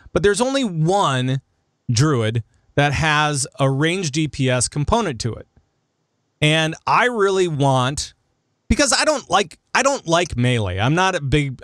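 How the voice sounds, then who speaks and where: A man's voice: a little high, a bit bright, no echo, studio quality. A man hosting a casual gaming panel chat, easygoing and given to repeating short phrases. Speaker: podcast host.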